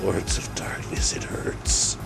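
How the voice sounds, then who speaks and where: A man's voice: slow pace, in a whisper, over music, close to an everyday voice. A man voicing an ancient demon seductress in a story, blunt, irritable and matter-of-fact with a sardonic grumble. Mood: pained, groaning.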